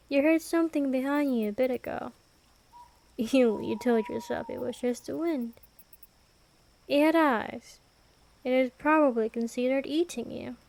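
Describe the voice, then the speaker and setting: Woman playing a slow, high-voiced vampire queen. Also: creaky, darkish tone, full character voice. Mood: cool, teasing. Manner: slow, deliberate, teasing, imperious. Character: ancient female vampire queen, predatory, commanding, possessively protective. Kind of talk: intimate ASMR roleplay.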